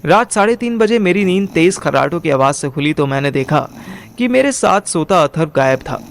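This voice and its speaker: A man's voice: fairly high pitch, animated, normal speed, creaky, a little hiss or hum. A young man narrating a first-person audio drama in colloquial Hindi mixed with English words. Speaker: narrator and lead character.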